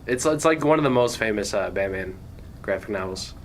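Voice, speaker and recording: A man's voice: fairly low pitch, lively intonation, brisk. A young man co-hosting a podcast, chatting in a laid-back way and laughing along. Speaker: podcast co-host. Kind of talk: casual chat. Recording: faint background noise.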